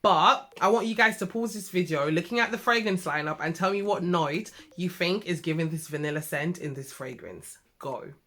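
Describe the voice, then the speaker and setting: Woman, British accent, young adult, slightly nasal, bright tone, chatty and affectionate. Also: lowish voice, normal speed, hardly any pauses. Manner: chatty and affectionate, full of asides to viewers. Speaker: beauty vlogger. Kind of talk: chatty fragrance review.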